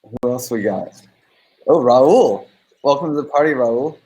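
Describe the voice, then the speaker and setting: A man's voice: mid-range voice, a little slow, warm tone, poor audio. Rambling casual man hosting a livestream. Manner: loose, rambling, lots of fillers. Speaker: host.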